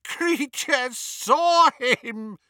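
A man voicing a wretched, groveling old male house-elf who wails shrilly or mutters in a muffled hush. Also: expressive, very slow, clear, resonant tone, no room sound, studio quality, full character voice. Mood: frantic wailing. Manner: shrill and frantic when wailing, muffled and hushed when recounting. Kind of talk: audiobook character voice.

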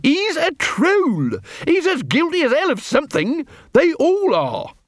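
A man as a snarling, irate watch captain, pitched up. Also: sing-song, moderate pace, dry recording, clean studio sound. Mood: angry, contemptuous. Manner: snarling, hissing, indignant. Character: irate, bullying male watch captain, sneering and bigoted.

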